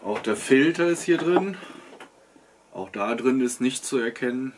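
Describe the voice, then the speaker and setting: A man's voice: medium pitch, animated, measured pace, heavy background noise. A man in his fifties giving casual unboxing commentary as a product reviewer, chatting and thinking aloud as he inspects things at a table in a small wood-panelled room.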